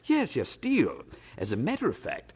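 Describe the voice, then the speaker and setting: An actor playing a hearty, blustering older brother in an 18th-century audio drama, with a husky voice and a condescending manner. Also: male voice, a little high, wildly swooping pitch, normal speed, darkish tone, heavily acted. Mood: gleeful, condescending. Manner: chuckling, hearty, condescending, stammers when evasive.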